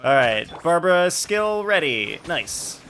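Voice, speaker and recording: A man with a bright, lively voice rambles through casual live game commentary, thinking aloud as he plays. His pitch moves around freely, and there is some noise behind him. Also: slow. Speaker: video game livestreamer.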